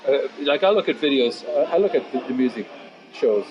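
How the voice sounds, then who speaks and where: A man of fifty, a musician chatting casually and philosophically in an interview, blunt and sweary, rambling into animated asides. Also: medium pitch, a little fast, muffled recording. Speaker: musician and guitar teacher being interviewed.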